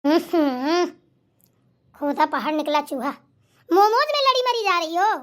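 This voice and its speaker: A woman acts out a bossy Indian-family-comedy mother-in-law with an extremely high, childlike pitch. She chides and lectures as the one who keeps the household in order. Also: unhurried. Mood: scolding. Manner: chiding, lecturing. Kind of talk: family comedy skit acting.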